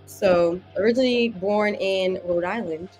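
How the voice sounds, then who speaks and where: A woman's voice: medium pitch, a little slow, darkish tone, faint music behind, poor audio. A young woman from Massachusetts telling her story casually as a podcast guest, informal and wordy, often rephrasing herself. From Massachusetts, United States. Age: young adult. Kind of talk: casual personal storytelling in an interview.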